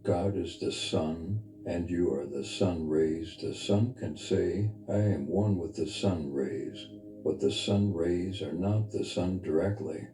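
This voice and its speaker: Very dark, low-voiced man guiding meditation. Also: a little hiss or hum. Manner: soft, unhurried, intimate, earnest instruction. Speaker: meditation guide, spiritual teacher. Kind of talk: guided meditation, spiritual teaching. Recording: reverberant voice over background music. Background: music.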